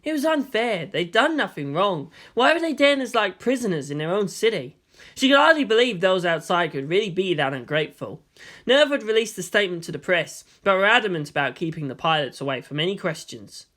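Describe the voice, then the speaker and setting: Story narrator, very varied intonation, expressive fiction reading, medium pitch. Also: brisk.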